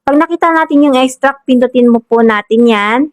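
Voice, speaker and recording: A woman's voice: high voice, brisk, dry recording. A woman with a Filipino accent hosting a casual step-by-step app tutorial in a polite, instructional manner. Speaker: tutorial host.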